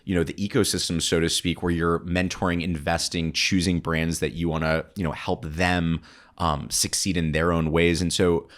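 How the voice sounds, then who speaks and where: A man's voice: deep voice, little pitch movement, normal speed. A man speaking conversationally as the host of a podcast interview.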